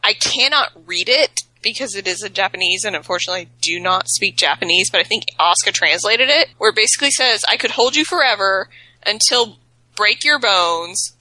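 A woman co-hosting a casual talk show, reading tweets aloud with playful, animated emphasis. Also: medium pitch, a little fast, no echo, poor audio. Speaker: podcast co-host.

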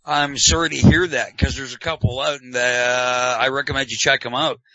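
A man from Canada hosts a loose, joking talk show with a sarcastic edge. His voice is bright and pressed, heard in a dry, dull lo-fi recording. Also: mid-range voice, fairly even intonation, normal speed, no echo. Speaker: podcast host. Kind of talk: casual chat.

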